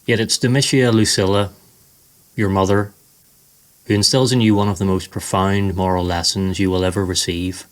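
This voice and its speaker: A man narrating a scripted guided meditation in a slow, soothing, measured way. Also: sits low, little pitch movement.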